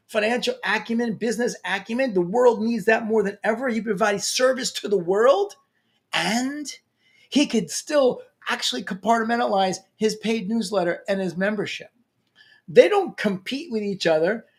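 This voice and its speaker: Lively New York man giving a pep talk. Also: high-pitched. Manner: energetic, emphatic, playful. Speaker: LinkedIn coach. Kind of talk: motivational talk to camera.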